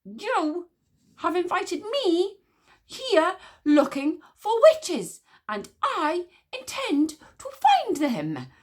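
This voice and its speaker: A woman's voice: extremely high pitch, huge pitch swings, drawn-out words, a little mellow, pitched above the performer's own voice, full character voice. A woman reading aloud, voicing a pompous, fanatical man who hunts witches and snaps at everyone. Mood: indignant. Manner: snappish, self-important, hissing. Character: pompous male witch-hunting expert, fanatical.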